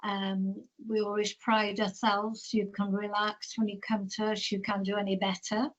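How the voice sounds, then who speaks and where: A woman's voice: medium pitch, monotone, moderate pace, dull audio. A Welsh-speaking woman in her fifties from North Wales, chatting in a rambling, self-deprecating way on a video call. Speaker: tour operator.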